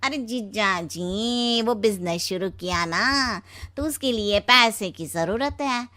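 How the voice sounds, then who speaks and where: A woman voicing a sheepish, money-seeking younger brother in a cartoon story, slow and ingratiating. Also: medium pitch, sharp tone. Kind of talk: Hindi cartoon story dialogue.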